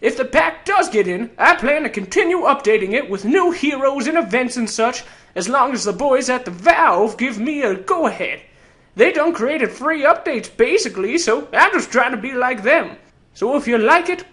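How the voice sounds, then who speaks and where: A tiny old fantasy game adventurer with a very high, odd, piercing voice. He swoops around in pitch, sarcastic and cocky, teasing the listener with folksy grammar as he pitches himself as an announcer. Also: very animated, moderate pace, pushed and bright, exaggerated voice. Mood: cheeky, upbeat. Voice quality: weird, high-pitched character voice. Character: tiny old sarcastic male keen-folk treasure hunter.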